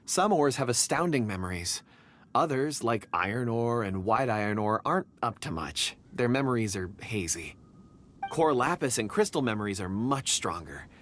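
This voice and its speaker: An actor playing a polite, gentle young man in a video game, speaking in a hesitant, soft-spoken and earnest way. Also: mid-range voice, animated, moderate pace, a little hiss or hum, lightly acted. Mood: explaining. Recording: faint music under the voice.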